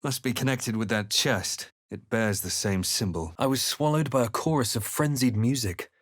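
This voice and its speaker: A man playing a composed, brooding fantasy adventurer in a video game, telling events in a measured, dramatic way. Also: medium pitch, a bit bright, dry recording, clean studio sound, natural voice. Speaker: player character voice. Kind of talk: scripted game narration and character lines.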